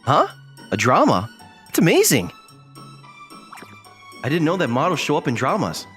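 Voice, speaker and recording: A man's voice: a little high, lively intonation, fast talker, fairly bright tone. A man playing a young college student, ordinary, guarded and self-deprecating, in an anime-style dub with a hesitant, dry, puzzled delivery. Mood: surprised, hesitant. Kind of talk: anime-style dub with first-person narration and inner monologue. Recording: music behind the voice.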